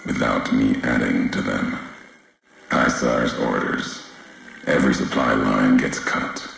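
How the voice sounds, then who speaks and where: An actor playing a grim, weary wasteland courier in a video game, speaking in solemn, cryptic, clipped phrases with a gravelly voice. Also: male voice, rumbling low, very echoey, a little hiss or hum, full character voice, poor audio. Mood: brooding, accusing, then cold, commanding. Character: grim, weary, brooding male wasteland courier, philosophical and menacing. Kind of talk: scripted video game character voice lines.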